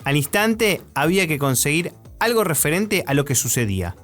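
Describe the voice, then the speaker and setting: A man's voice: fairly high pitch, big pitch swings, normal speed, a bit bright. An Argentine man presenting a radio documentary in scripted narration. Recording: music under the voice.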